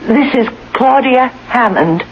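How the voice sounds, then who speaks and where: An actress playing a frightened, bedridden wife pleading for help in an overwrought, emphatic way, in a 1980s radio drama. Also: female voice, fairly high pitch, animated, slow pace. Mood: anxious pleading. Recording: dull, hissy old radio recording.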